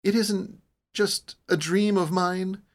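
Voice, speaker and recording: A man's voice: high voice, steady tone, slow, voice pushed up. A man voicing a stubborn, defiant young woman in a storybook, challenging and intense. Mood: hopeful, hungry.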